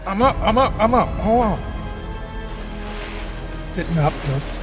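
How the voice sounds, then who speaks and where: A man takes part in a tabletop roleplay in a casual in-character style. His voice is a bit higher than most men's. Background music is clearly present. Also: lively intonation, a little slow.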